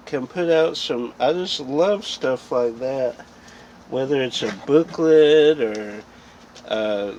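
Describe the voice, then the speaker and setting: A man talks off the cuff in a casual vlog style at an unhurried pace. He rambles and thinks aloud as he looks things over. The recording is dull and lo-fi, with faint noise behind his mid-pitched voice. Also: a little mellow. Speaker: host of a video channel about film collecting. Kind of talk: casual unscripted haul vlog chat. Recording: dull lo-fi sound with background noise.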